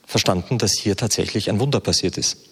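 A man's voice: on the low side, a little fast, a bit bright, slightly rough. A man interpreting a sermon consecutively, fluent but with frequent hesitation sounds. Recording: reverberant hall.

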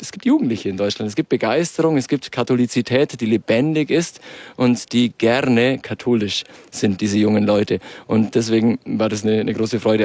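A man's voice: medium pitch, a little mellow, no echo. A man speaking as a priest in a live radio interview, giving spontaneous answers in an earnest, enthusiastic manner. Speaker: priest, youth chaplain. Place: outside-broadcast van.

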